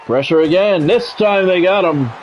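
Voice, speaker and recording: A man's voice: sits high, normal speed, muffled recording, slight background noise. A man doing play-by-play of a football video game on a live stream, mixing in plain-spoken, grumbling chat. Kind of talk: sports play-by-play commentary mixed with casual stream chat.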